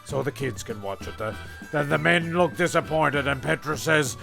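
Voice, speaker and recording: Rambling, gravelly male comedy narrator. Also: a little high, moderate pace, pushed and bright. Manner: rambling, stammering repeats, sweary. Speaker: narrator and host. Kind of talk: loose comic narration of an audio sketch show. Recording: homemade audio with faint music behind.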